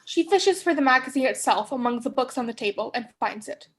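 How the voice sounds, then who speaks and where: Narrator: young woman, very high-pitched, scripted stage-direction reading. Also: expressive, brisk, a bit bright. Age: young adult.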